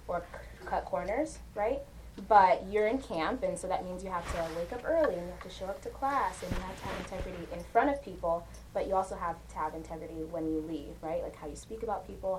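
Soft-pitched woman encouraging a youth class. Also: far from the mic. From Uganda. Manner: encouraging, asks the group questions, frequent "right?". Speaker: counselor and guest speaker. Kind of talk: informal talk to a youth class. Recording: noisy room recording.

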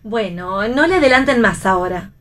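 An actress playing a nervy adult daughter who performs on stage, in a radio play reading. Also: female voice, medium pitch, lively intonation, normal speed, fairly bright tone, close to an everyday voice. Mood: mildly impatient. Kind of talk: radio read theatre dialogue.

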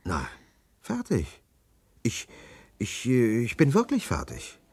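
An actor playing a wry, excitable scientist who lectures and hesitates by turns in a radio drama. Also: male voice, medium pitch, slow, creaky, heavily acted. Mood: hesitant, eager. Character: excitable male scientist and inventor, wry, professorial. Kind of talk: 1970s radio drama dialogue.

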